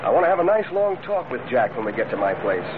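An actor playing a pushy, smooth-talking gang leader in a 1940s radio drama, slangy and needling. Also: male voice, medium pitch, very animated, fast talker, lightly acted. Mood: threatening, bossy. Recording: tinny, noisy old radio recording.

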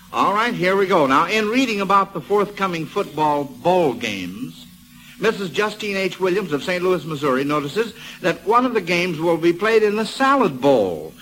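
A man's voice: high voice, fairly bright tone, low-quality recording. An American man hosting an old-time radio quiz, chatty and folksy as he talks to children and listeners. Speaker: quiz show host.

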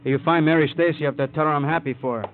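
An actor playing a down-to-earth police detective in a vintage radio crime drama, talking in a casual, wry, musing way. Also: male voice, a little high, very fast, natural voice. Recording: dull old radio recording.

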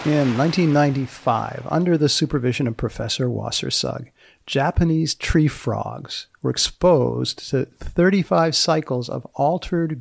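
Man, gravelly fry, mid pitch, measured scripted narration, science presenter. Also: no room sound, studio quality. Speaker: host and presenter.

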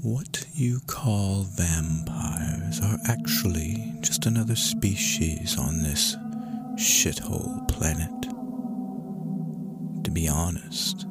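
Man voicing a slow, gravelly, brooding vampire. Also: exaggerated voice. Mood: weary contempt. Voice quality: low gravelly, breathy rasp. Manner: slow, brooding, dramatic delivery. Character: bitter, world-weary male vampire narrator of horror story. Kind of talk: dramatic reading of horror fiction. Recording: loud music bed underneath.

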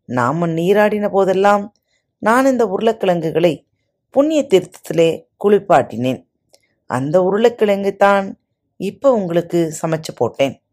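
A woman's voice: mid-range voice, animated, measured pace, pauses often, darkish tone, pitched above the performer's own voice. A woman voicing a simple, humble male servant who answers his master politely, in a storybook reading.

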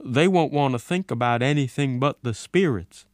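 An earnest, naive army radio operator from a storybook, acted by a male narrator, pleads urgently in a raised, high voice. He is deferential and excitable, recorded close and dry. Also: moderate pace, no room sound, lightly acted. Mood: pleading.

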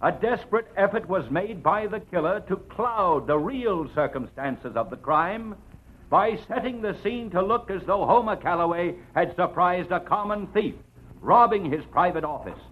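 A dull vintage radio recording of an aggressive prosecutor. His voice is fairly high and swings widely as he orates crisply, pausing for dramatic weight in a radio courtroom drama. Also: expressive. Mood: insistent, grave. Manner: oratorical, crisp, emphatic, with dramatic pauses. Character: aggressive, eloquent male assistant district attorney in court. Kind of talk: old radio drama acting, courtroom speech.

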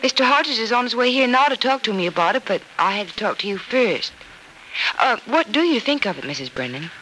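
A woman's voice: a little high, very animated, a little fast, sharp tone. An actress playing a level-headed, caring young woman with a polite, earnest manner in a radio soap opera in the style of the 1940s. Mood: earnest, explaining. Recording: old noisy radio recording.

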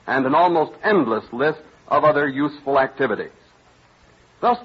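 A husky American male announcer with a slightly high voice, delivering formal, measured copy in 1930s radio style. The sound is muffled, like a vintage broadcast.

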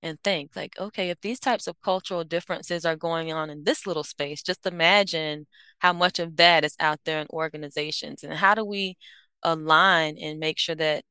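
A woman's voice: sits low, fairly even intonation, moderate pace, sharp tone, clear, resonant tone, no echo. A woman in her thirties from the United States, an I-O psychologist guest, answering thoughtfully with frequent fillers and self-corrections. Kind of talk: reflective interview answers.

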